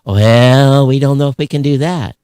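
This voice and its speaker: A man's voice: mid-range voice, normal speed, warm tone, smooth, no room sound, studio quality. A man hosting a podcast in casual, emphatic discussion, often voicing both sides of an imagined exchange. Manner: emphatic, acts out imagined dialogue. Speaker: podcast host.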